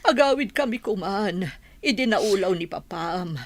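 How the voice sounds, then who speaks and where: A woman's voice: mid-range voice, animated, a little slow, dry recording. A woman acting as an earnest, concerned middle-aged mother in a radio drama. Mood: regretful.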